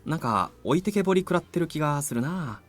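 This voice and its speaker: A breezy teenage schoolboy from a visual novel, higher-pitched and very fast. His delivery swings up and down as he grumbles good-naturedly like a best friend. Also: expressive, soft background music. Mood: mock grumbling. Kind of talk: Japanese visual novel voice acting.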